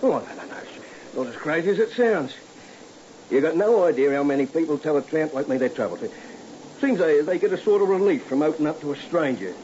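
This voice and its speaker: A man's voice: on the high side, very animated, brisk, mellow. An actor playing a chatty, folksy tramp down on his luck, in old-time radio drama style. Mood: curious, coaxing. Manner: folksy, colloquial, easygoing. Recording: dull, noisy old radio recording.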